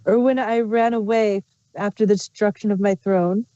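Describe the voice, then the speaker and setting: A woman's voice: mid-range voice, steady tone, normal speed, mellow, lightly acted. A woman playing a sly, wistful changeling queen villain in a fan fiction reading. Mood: smug, taunting. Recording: muffled, roomy home recording.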